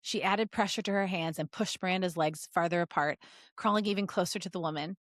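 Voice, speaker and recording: A woman's voice: on the low side, brisk, a bit bright, no echo, studio quality. A woman podcast co-host reading fan fiction aloud in a giggly, joking way with casual asides.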